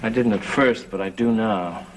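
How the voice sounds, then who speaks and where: An actor playing a grave, earnest man investigating dark powers in a gothic soap opera, speaking deliberately. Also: lowish voice, lightly acted, poor audio. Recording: vintage TV soundtrack with music.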